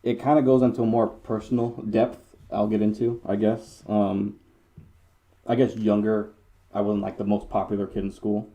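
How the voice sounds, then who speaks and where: Deep mellow-voiced young man. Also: level tone, normal speed, dull audio. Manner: laid-back, rambling with restarts, bursts into high laughter. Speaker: podcast guest, security commander. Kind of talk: casual storytelling chat.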